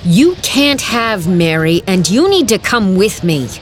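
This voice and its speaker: An actress playing a brash, wry, defiant young heroine in an audio drama, narrating and speaking in character. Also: female voice, medium pitch, big pitch swings, measured pace. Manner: wry, dramatic first-person telling. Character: brash half-fae heroine, defiant, wry, gun in hand. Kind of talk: full-cast audio drama narration and dialogue. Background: music.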